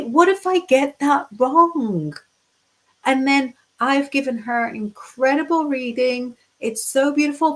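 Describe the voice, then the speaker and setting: A woman's voice: fairly high pitch, rough recording. A woman from the UK, a tarot reader, chatting casually while teaching on a livestream and reading out viewer comments. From United Kingdom.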